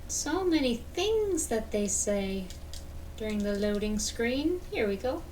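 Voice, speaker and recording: A woman's voice: on the high side, very animated, slow pace, a little mellow. A woman streamer giving casual live game commentary and reading text aloud. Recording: distant mic with background noise.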